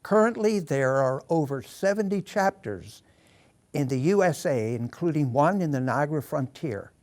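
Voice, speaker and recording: A man's voice: fairly high pitch, animated, normal speed, darkish tone. A man hosting an interview, opening with a scripted introduction and then asking polite, curious questions. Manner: courteous, inquisitive. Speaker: interview host.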